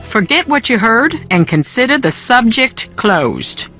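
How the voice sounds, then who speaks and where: A woman's voice: on the high side, sing-song, measured pace, bright, forceful tone, faint music behind, exaggerated voice. An actress voicing a haughty, secretive upper-class woman in a video game, curt and dismissive. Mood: icy disdain. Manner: clipped, imperious, dismissive.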